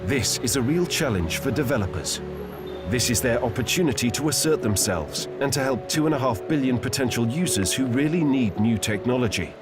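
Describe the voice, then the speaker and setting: A man narrating a documentary script in a steady, explanatory manner. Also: mid-range voice, a little fast. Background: music.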